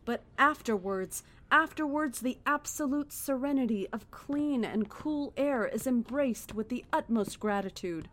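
An actress playing a serene, fanatical cult leader in a horror audio drama, speaking in a measured, solemn, preaching way. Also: female voice, a little high, animated, a bit bright. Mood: calm zeal.

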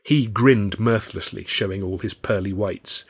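A man's voice: lowish voice, measured pace, vocal fry, breathy edge. A man reading a scripted first-person story in a wry, literary manner. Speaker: author and audiobook narrator.

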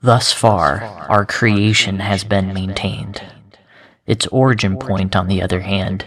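An actor playing a self-important, cult-like chief executive giving a measured, pompous speech in an audio drama. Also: male voice, lowish voice. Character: smooth, self-important male cult-like corporate CEO.

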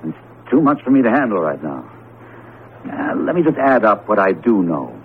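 Low-voiced, wry professor, old radio. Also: male voice, big pitch swings, normal speed, narrow, tinny audio. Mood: puzzled, reasoning. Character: skeptical, genial male psychology professor, pipe-smoking friend. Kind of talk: 1970s radio drama acting and first-person narration. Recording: noisy, band-limited 1970s radio broadcast recording.

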